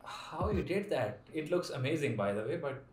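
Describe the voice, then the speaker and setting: A man's voice: fairly high pitch, expressive, moderate pace. A man from Pakistan, hosting casual flirty video chats with strangers and teasing them with cheesy pickup lines. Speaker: YouTuber host chatting with strangers on video chat. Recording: echoey room with loud background music.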